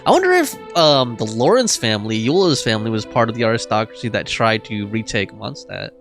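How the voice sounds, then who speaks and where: A woman streamer comments casually on a game, thinking aloud. Her voice is very deep for a woman and swings widely in pitch, with faint music behind. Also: sing-song, fairly quick.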